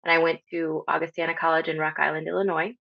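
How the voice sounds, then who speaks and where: A woman in her thirties from Honduras, a podcast guest talking casually with many pauses and ums. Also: sits low, flat delivery, vocal fry, no echo. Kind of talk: casual interview talk. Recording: slightly dull lo-fi audio.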